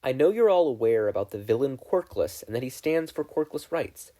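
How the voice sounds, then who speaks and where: A man voicing a stern, deadpan male teacher with a drawl, addressing his class in a story reading. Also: mid-range voice, a little fast, close to an everyday voice. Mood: stern, dry.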